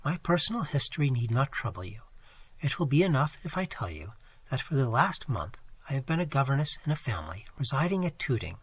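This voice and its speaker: A man voicing a distressed young lady in a read-aloud story, speaking in a low, beseeching murmur. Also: sing-song, fairly quick, slightly rough, dry recording. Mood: earnest. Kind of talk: audiobook character reading.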